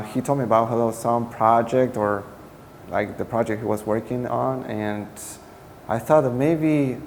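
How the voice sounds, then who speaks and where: Interview guest: man, mid-range voice, earnest, pauses to find words; echoey room, faint hiss. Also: medium pitch, a little mellow. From Peru. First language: Spanish. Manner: earnest and searching for words, self-correcting. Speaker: startup co-founder. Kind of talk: answering interview questions.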